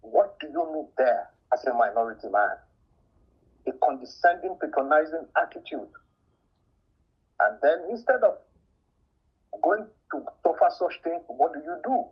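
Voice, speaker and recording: A man's voice: on the high side, lively intonation, normal speed, long pauses, husky. A man with a Nigerian accent giving personal testimony in a rhetorical, dramatic manner, asking and answering his own questions. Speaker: community participant giving testimony. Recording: dull lo-fi sound.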